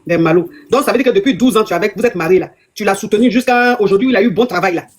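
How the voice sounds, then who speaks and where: A woman's voice: mid-range voice, very fast, slight background noise. A woman relationship coach, giving emphatic advice that repeats phrases and scolds her listeners. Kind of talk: advice call-in talk.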